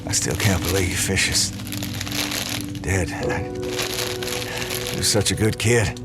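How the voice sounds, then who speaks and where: An actor playing a steady, reassuring small-town sheriff in an audio drama, earnest and folksy. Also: male voice, low voice, slow. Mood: tender, hesitant. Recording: loud music behind the voice.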